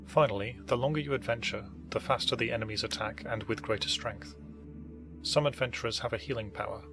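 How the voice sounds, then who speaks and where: A man giving scripted, measured instructional narration as he explains how a game is played. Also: mid-range voice. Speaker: narrator of a gaming tutorial.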